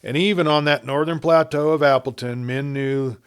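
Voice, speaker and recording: A man reading a western story as a storyteller, in a measured, dramatic narration with emphatic swells and phrases that trail off. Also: on the high side, fairly even intonation, clear, resonant tone.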